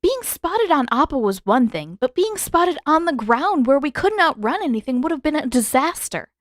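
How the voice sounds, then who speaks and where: Woman acting an exasperated, high-pitched teenage girl. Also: very animated, moderate pace, dry recording, studio quality. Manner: emphatic, sharp retorts.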